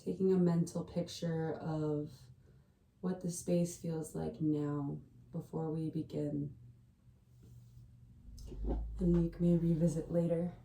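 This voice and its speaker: A woman guides a meditation slowly and soothingly, with a very mellow, dark voice that barely moves in pitch. Her delivery is gentle and hushed, with long pauses. She sounds slightly distant in an echoey room. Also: little pitch movement, vocal fry, slight background noise. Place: indoors at a family home.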